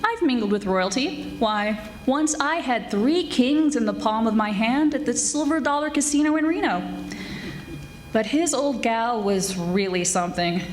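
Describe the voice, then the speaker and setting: A woman playing a brash, wisecracking young private detective in a 1940s-style radio drama, sassy and quick-witted. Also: on the high side, lively intonation. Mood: wry narrating. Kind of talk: 1940s radio detective acting, dialogue and narration. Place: live stage performance of a radio play.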